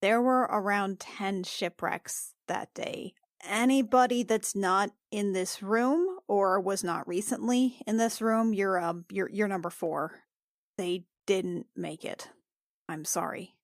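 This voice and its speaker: A woman playing her character in a tabletop game, talking in a hesitant, halting way. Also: mid-range voice, no room sound, clean studio sound. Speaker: player.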